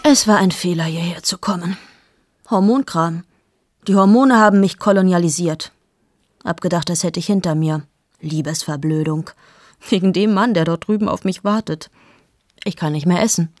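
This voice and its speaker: Actress voicing a low-voiced, amoral woman journalist in her late 30s, confiding in hushed tones, dry studio radio play. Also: moderate pace, no echo, close to an everyday voice. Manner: intimate, addresses the listener, slips into whispers. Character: cold amoral female journalist, 38, confessing. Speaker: radio drama lead.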